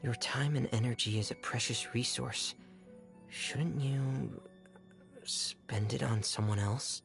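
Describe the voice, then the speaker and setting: An actor playing a shy, anxious, devoted young man in a video game, soft and breathy, with hesitant, self-effacing phrasing. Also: mid-range voice, steady tone, slow pace, faint music behind. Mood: humble, uncertain.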